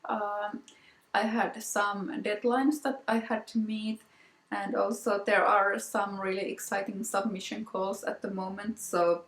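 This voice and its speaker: A Finnish-accented woman hosts a casual show-and-tell vlog, talking very slowly and softly with many ums and repeated words. Her voice sits at a middling pitch and rings in an echoey room. She is a native Finnish speaker talking in English. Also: little pitch movement. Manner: slow, soft-spoken, with frequent ums and repeated words. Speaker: knitting podcast host.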